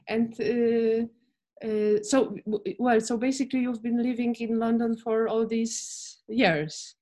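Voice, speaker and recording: A woman of about forty with a slightly high voice, who talks slowly and haltingly. She searches for words and starts her phrases over and over. She asks questions as an interviewer in a relaxed chat. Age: 40s. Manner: hesitant, halting, with many "uh" fillers and restarts. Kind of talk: casual interview.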